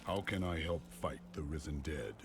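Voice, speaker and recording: Super deep gravelly game warrior, grim n solemn, loud music behind him. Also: male voice, normal speed. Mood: resolute. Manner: solemn, terse, declarative. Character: grim, resolute male warrior hero of dark fantasy. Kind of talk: dark fantasy video game voice acting.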